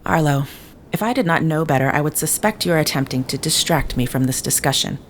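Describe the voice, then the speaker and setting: A woman playing a calm, analytical companion in an audio drama, speaking precisely and formally without contractions. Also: sits low, fairly quick, a little hiss or hum. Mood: reserved, firm. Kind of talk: scripted audio drama acting.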